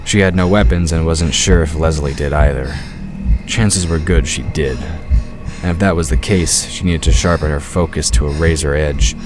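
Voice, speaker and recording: A man's voice: a bass voice, steady tone, normal speed, over music. A man giving scripted narration for a fantasy audio drama.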